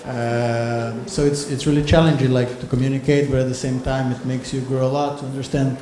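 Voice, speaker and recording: A man's voice: mid-range voice, little pitch movement, fairly quick, mellow. Portuguese-native man in an echoey hall. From Brazil. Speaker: workshop participant. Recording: echoey hall with background noise.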